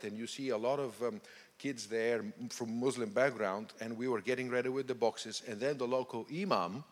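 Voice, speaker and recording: Man, bulgarian accent, missionary guest, halting speech with restarts, mid-pitched voice, long hall reverb. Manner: halting, with many restarts and fillers. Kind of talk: interview on stage.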